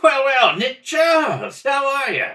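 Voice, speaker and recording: Man as nervy small-time pickpocket, radio drama, hesitant, animated, slightly distant. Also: very high voice, measured pace, pitched above the performer's own voice, heavily acted. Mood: hearty. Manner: hesitant, stammering. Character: sheepish, shifty male pickpocket greeting an old pal. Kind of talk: old-time radio play acting. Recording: home kitchen, distant mic.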